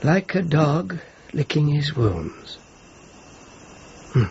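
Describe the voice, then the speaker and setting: An actor playing a courteous, reserved host with quiet sympathy in a period radio drama, measured and polite. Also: male voice, fairly high pitch, sing-song, heavily acted. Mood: quietly sympathetic. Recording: dull lo-fi audio with clear noise.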